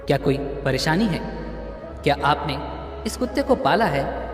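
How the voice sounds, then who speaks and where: Earnest young seeker, pleading and questioning, as a man reads him, lively pitch, roomy reverb. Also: unhurried, over music. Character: earnest young man seeking true happiness.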